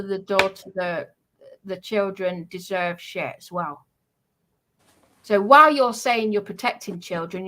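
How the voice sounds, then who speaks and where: Slow-paced woman on a scolding rant. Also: mid-range voice, a bit bright, rough recording. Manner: scolding, confrontational. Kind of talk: unscripted rant addressed to online trolls.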